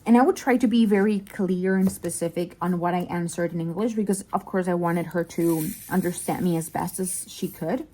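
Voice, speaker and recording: A woman with a Mexican accent, a native Spanish speaker, telling an anecdote in a casual, chatty way, animated and often interrupting herself with asides. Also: fairly low pitch, normal speed, few pauses, slight background noise, rough recording. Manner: animated, digressive, self-interrupting. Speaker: vlogger and crafter, telling work anecdotes.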